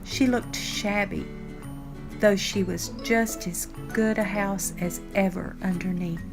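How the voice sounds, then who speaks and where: An older woman narrates a picture book for young children at a very unhurried pace. Her pitch sits in a middle range, and she phrases each sentence deliberately and expressively. Music plays clearly behind her. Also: fairly even intonation. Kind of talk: read-aloud storytime narration. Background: background music.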